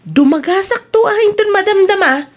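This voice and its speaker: A woman's voice: very high voice, moderate pace. A woman with an Ilocano Filipino accent playing an eager, money-chasing village councilor in a comedy audio drama. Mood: reassuring. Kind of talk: comedy radio drama acting.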